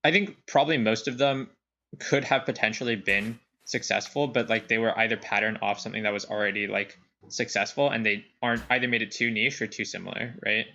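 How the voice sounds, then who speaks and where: A young man co-hosting a casual chat podcast, talking in halting restarts full of "like" fillers. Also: lowish voice, steady tone, pushed and bright, lo-fi sound. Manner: hesitant, many restarts and "like" fillers. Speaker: podcast co-host.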